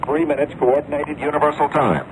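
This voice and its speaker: A man with a slightly high voice announces the time in a set formula. He comes through a 1980s shortwave radio recording with clear static. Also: fairly even intonation, fairly quick, low-quality recording.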